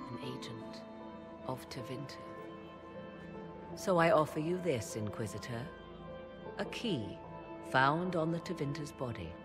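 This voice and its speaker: A woman playing an enigmatic, haughty sorceress and court advisor in a fantasy game, with theatrical, archaic, measured diction. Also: very deep voice, full character voice. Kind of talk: fantasy game cutscene acting.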